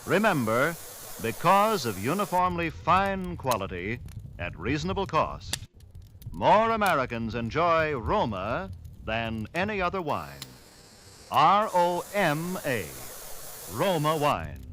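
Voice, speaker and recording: A man announcing in a polished, formal 1940s radio style, selling with measured, deliberate phrasing. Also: a little high, big pitch swings, sharp tone. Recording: hissy vintage radio broadcast.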